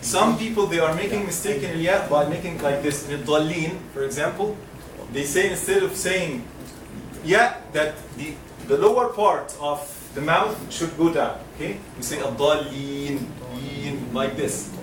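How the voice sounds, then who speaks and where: Man teaching from across an echoey classroom. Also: fairly high pitch. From Egypt. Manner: patient, repeats sounds slowly for students to echo. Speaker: Quran recitation teacher. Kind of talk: classroom lesson with call-and-response drills. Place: lecture classroom. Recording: roomy classroom, mic far off, noisy.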